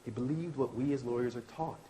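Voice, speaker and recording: A man reads as an idealistic lawyer and orator in a staged drama. The voice sits at a medium pitch, and the delivery is earnest and declamatory, shaped like formal public speech. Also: a little fast, dark tone, slight background noise, lightly acted, rough recording. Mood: earnest, defensive. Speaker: professor reading a play. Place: indoor launch event venue.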